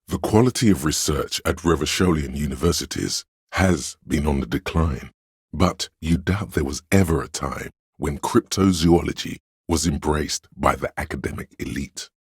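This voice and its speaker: Very deep, scholarly know-it-all narrator. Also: male voice, dry recording, studio quality, full character voice. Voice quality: very deep, smooth, resonant. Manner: measured, scholarly, dryly condescending. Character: erudite encyclopedic inner voice, a measured, dryly condescending know-it-all man. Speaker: game narrator. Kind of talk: scripted game narration.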